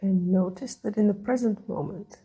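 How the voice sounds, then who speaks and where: A woman leading a guided meditation, speaking in a soothing, gentle way with long pauses between phrases. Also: mid-range voice, breathy edge, low-quality recording. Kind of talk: guided meditation, calm instruction.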